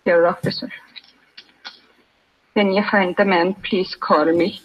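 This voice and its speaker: Husky, pleading, distraught mother. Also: lowish voice, fairly even intonation. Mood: pleading, grieving. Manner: slow, pleading, halting. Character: distraught, pleading mother of a robbery victim's family. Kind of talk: 1950s radio drama acting. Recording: dull vintage radio recording.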